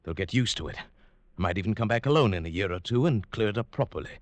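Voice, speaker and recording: Actor as low-voiced, precise, murderous English professor. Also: male voice, lively intonation, quick pace, dry recording, lightly acted. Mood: gloating. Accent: British. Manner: precise, gloating, theatrical. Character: coldly methodical, smugly murderous English professor husband. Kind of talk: 1940s radio drama acting and narration. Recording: vintage 1940s radio with organ-era music.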